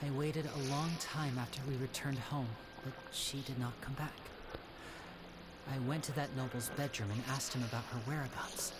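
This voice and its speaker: A man plays an earnest young stage magician with a fairly high voice. He tells his painful story in measured, solemn game dialogue. Loud ambient noise runs behind him. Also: lightly acted. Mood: grave, bitter. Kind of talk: scripted video game dialogue.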